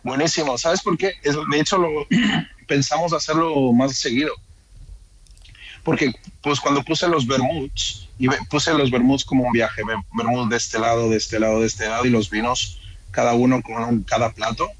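A man in his thirties with a Spanish accent from Madrid talks through his story in an easygoing, rambling way, full of restarts and filler phrases. His voice sits at an ordinary middle pitch for a man. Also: moderate pace, rough recording. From born in Ecuador, raised in Madrid. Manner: relaxed, rambling with restarts and "o sea". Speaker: restaurant owner, guest. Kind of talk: casual interview chat.

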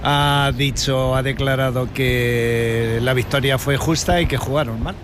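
An older man from answering haltingly as an interviewed passer-by outdoors. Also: fairly even intonation. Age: older, retired. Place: outdoors at a petrol station. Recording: loud outdoor traffic rumble.

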